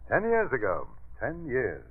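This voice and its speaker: Courtly, brooding scientist on vintage radio. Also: male voice, medium pitch, wildly swooping pitch, measured pace, husky, exaggerated voice. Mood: wistful, brooding. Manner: polite, self-deprecating, formal. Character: brooding, courtly male scientist, a former suitor. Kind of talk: 1940s radio acting. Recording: phone-like old radio recording.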